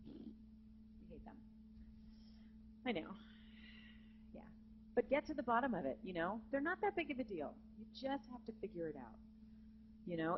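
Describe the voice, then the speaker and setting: Lively woman coaching singers, lo-fi. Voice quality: naturally low speaking voice. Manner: lively, encouraging, demonstrates with sung examples. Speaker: vocal jazz clinician. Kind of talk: live masterclass teaching. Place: conference hall with student audience. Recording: dull lo-fi live recording with hum.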